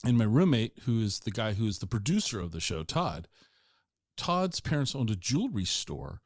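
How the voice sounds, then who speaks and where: A man's voice: lowish voice, very animated, normal speed, dry recording. Guy in his sixties hosting a chat show, wanders around his questions, dry close mic. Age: 60s. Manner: loose, meandering questions. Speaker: podcast host. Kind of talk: casual interview conversation.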